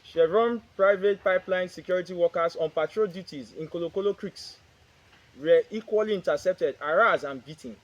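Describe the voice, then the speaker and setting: Man reading a press statement haltingly. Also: sits high, rough recording. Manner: reads haltingly in short phrases, restarts lines.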